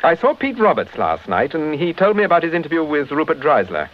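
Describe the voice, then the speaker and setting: Casting: urbane English gentleman detective, RP, very animated delivery, dull vintage radio sound. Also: a little high, a little fast. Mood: polite, probing. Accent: English Received Pronunciation. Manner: polite, urbane. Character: suave, courteous English gentleman amateur sleuth. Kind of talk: British radio drama acting.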